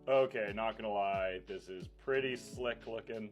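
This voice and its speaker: A man's voice: on the low side, measured pace. A man giving a casual tech review in an informal, conversational, enthusiastic way, as a vlogger would. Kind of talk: casual product review vlog. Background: background music.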